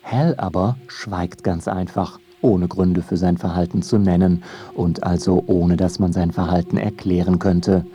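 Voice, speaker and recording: A man narrating a scripted radio feature in a measured, wry manner. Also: deep voice, steady tone, warm tone. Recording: studio narration over music bed.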